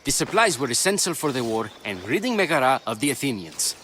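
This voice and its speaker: A man's voice: medium pitch, sing-song, fast talker, sharp tone, slight background noise. An actor playing a hard, dutiful male Spartan soldier in a video game, speaking brusquely. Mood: brusque, insistent. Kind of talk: dramatic game cutscene acting.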